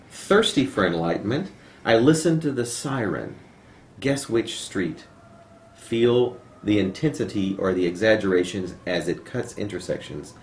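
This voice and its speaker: A man reading poetry aloud as a poet at a live home reading. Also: fairly low pitch. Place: room in a home. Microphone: camera microphone, no dedicated mic. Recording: roomy echo, faint noise, amateur video.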